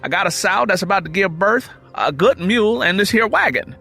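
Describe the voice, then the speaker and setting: A man performs an earnest young country churchman in an audio drama, high and bright. He speaks steadily and respectfully in folksy Southern dialect, polite yet set on his purpose, with lively pitch. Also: a little fast, vocal fry, husky, faint music behind, heavily acted. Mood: earnest, pleading. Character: earnest young rural churchman suitor, twenty-seven, polite but determined.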